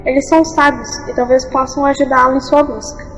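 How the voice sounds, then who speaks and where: A woman playing a gentle, wise young enchanted lake maiden in an audio fantasy storybook, speaking tenderly and with measured phrasing. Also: high voice, flat delivery. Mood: gentle, advising. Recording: dull, long reverb, faint music.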